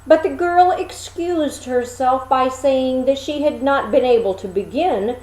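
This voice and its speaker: A woman reading a fairy tale aloud as expressive storybook narration, with dramatic, deliberate phrasing. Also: sits high, reverberant, poor audio.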